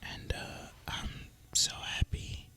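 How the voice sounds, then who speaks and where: Young man whispering casual gaming ASMR. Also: slow pace. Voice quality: soft breathy unvoiced whisper. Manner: casual, relaxed, rambling. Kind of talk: whispered ASMR gameplay commentary.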